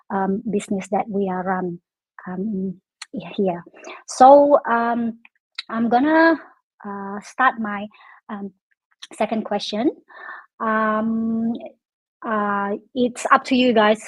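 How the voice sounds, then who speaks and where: A woman's voice: medium pitch, no room sound, rough recording. A woman hosting a casual online interview, hesitant and full of "um" fillers. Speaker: interview host.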